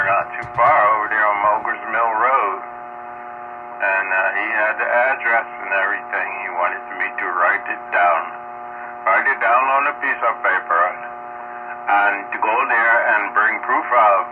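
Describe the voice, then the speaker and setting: A man's voice: fairly low pitch, steady tone, normal speed, narrow, tinny audio. A man telling casual anecdotes over a two-way radio, acting out bits of conversation as he goes. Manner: acts out other people's lines in anecdotes. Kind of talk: casual chat, storytelling. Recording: very band-limited, noisy two-way radio. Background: clear radio static and noise.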